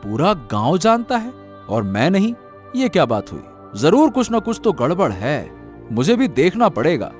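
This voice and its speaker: A man playing a curious, incredulous village man in a cartoon story, with a questioning, animated manner. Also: on the high side, moderate pace. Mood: puzzled, incredulous. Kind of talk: scripted cartoon story acting. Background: music.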